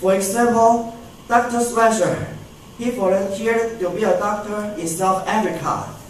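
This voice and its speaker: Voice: medium pitch, steady tone, measured pace, slight background noise, close to an everyday voice. An amateur radio drama performer playing a kind, earnest male alien who runs a small cafe. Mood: earnest pleading. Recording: echoey hall from far off, music behind.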